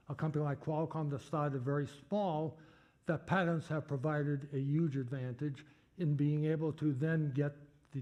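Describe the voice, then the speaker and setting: Older Boston man, halting and mellow. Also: on the high side. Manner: halting, many fillers and restarts. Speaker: guest speaker. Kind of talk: lecture Q&A answer.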